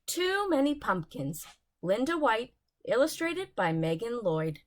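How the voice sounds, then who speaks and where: A woman narrates a children's bedtime story in a high, very animated voice. She takes her time, leaning dramatically on key words and phrases as she reads. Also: measured pace.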